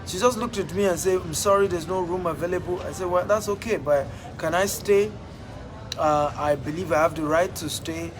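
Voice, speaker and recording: A man's voice: high-pitched, normal speed. A man with a Nigerian accent giving a motivational talk, emphatic and repeating his phrases for effect. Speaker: motivational speaker.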